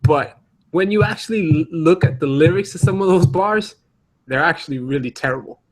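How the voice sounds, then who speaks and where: A man's voice: a little high, normal speed, low-quality recording. A man co-hosting a podcast, arguing casually among friends and insistently repeating words for emphasis. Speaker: podcast co-host.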